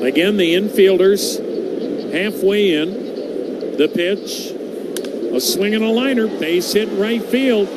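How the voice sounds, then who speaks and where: High-pitched male baseball announcer, unhurried, noisy. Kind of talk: live radio sports commentary. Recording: very noisy live broadcast.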